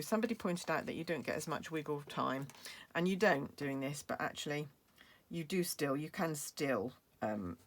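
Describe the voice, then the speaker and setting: A British woman demonstrating a craft project, chatty and explaining each step with fillers, her voice going hoarse. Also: extremely low pitch, slight background noise. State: losing her voice, coughing. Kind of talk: crafting tutorial.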